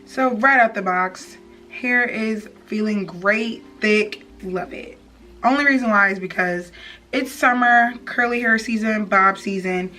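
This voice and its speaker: A woman's voice: medium pitch, unhurried, bright, forceful tone, rough recording. A young woman beauty vlogger talking casually and informally to her viewers in a slangy, chatty tutorial style. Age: young adult. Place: small indoor room with plain wall. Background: background music.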